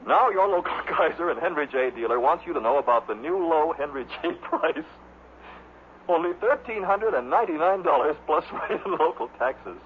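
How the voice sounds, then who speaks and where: A man's voice: a little high, animated, normal speed. An American man reading an advertisement as a radio announcer. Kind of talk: radio ad read. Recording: tinny vintage radio, faint noise.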